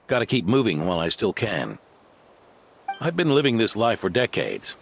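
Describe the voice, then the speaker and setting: A man playing an old, dutiful knight in a video game, speaking in a gravelly, weighty voice with formal, measured phrasing. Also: fairly low pitch, wildly swooping pitch, slight background noise, full character voice. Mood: hearty. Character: weathered old male knight, dutiful and earnest.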